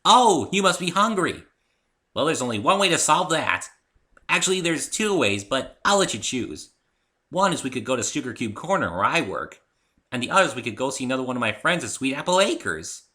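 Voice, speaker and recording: A man's voice: medium pitch, sing-song, brisk, bright, forceful tone, voice pushed up. A man voicing a hyperactive, cheerful young female cartoon pony in a bubbly, gushing manner. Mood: helpful, chirpy.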